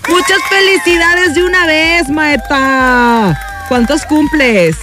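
A woman's voice: sits high, slow pace, music in the background. A young woman with a Mexican accent hosting a live radio show, reading listener messages in a playful, chatty way and laughing at herself. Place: radio studio. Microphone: studio mic.

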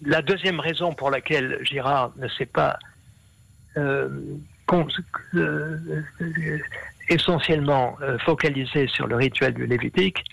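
A man's voice: on the high side, measured pace, vocal fry, a bit bright, no echo, rough recording. A man explaining ideas at length in an interview, with many fillers and restarts. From half Brazilian. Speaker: interview guest, scholar.

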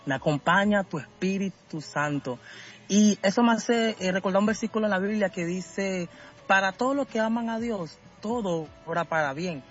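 A man from Venezuela talking casually as a guest in a radio interview, halting and often searching for his words. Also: sits high, dull audio. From Caracas, Venezuela. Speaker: musician being interviewed.

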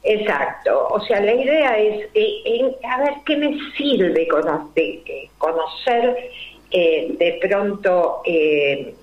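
An older woman with a Rioplatense Spanish accent, a numerology guest explaining her ideas slowly and deliberately, full of fillers and rhetorical questions. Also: mid-range voice, lively intonation. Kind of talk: explanatory interview talk. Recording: roomy, dull lo-fi recording.

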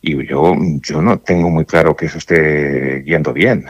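Deep-voiced man, halting and thoughtful. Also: breathy edge, no echo, muffled recording. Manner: thoughtful, halting, many restarts and fillers. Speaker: judge interviewed on radio. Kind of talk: radio interview answers.

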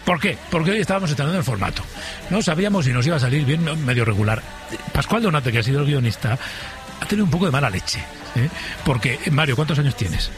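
A man's voice: fairly high pitch, lively intonation, fast, soft background music. A man hosting a radio quiz, bantering and chuckling as he goes. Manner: jokey, chuckling. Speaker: radio show host. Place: radio studio.

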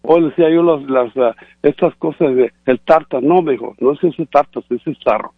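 A man's voice: fairly high pitch, moderate pace, no room sound. A man calling in to a radio show, explaining dental care in a casual, repetitive way. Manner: explanatory, repeats points. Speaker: regular guest presenting sponsor. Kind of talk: casual radio chat, promotional. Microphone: phone call. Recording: tinny phone line.

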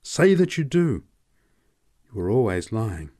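Man voicing a young lover, anguished, passionate, pleading, loud outbursts. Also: on the low side, moderate pace, close to an everyday voice. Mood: desperate. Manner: pleading, breaking into raised outbursts.

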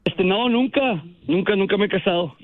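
A man's voice: high voice, normal speed, no echo. A man in his twenties calling a radio show, chatting politely and eagerly over the phone. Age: 20s. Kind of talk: informal on-air phone conversation. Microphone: telephone call to radio studio. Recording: dull lo-fi phone call.

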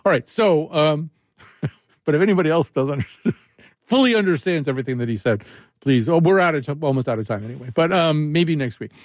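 Male call-in radio host, fairly high voice, rambling and wry, dry studio sound. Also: no echo. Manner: rambling, self-interrupting, wry. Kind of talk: casual call-in radio talk.

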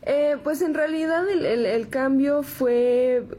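A woman in her twenties from San Antonio, Texas, speaking Spanish with a Mexican accent as an interview guest, soft-spoken with many fillers. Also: high-pitched, slow pace. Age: 20s. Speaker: guest musician. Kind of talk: interview answers.

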